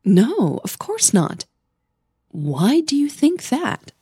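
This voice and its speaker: Woman playing a hushed, hesitant young female singer. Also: sits high, wildly swooping pitch, takes it slowly, husky, dry recording, clean studio sound, voice pushed up, exaggerated voice. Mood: stunned, defensive.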